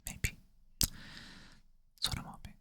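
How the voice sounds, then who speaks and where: ASMR whisperer, sleepy and self-deprecating, bright airy close-mic whisper. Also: takes it slowly. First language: Swedish. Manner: soft whisper, thinking aloud, self-deprecating. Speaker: ASMR creator. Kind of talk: whispered ASMR puzzle play-through.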